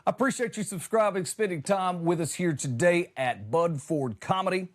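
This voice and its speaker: A man's voice: high voice, normal speed. A man hosting a comedy show, delivering a conversational monologue with exasperated, emphatic punchlines.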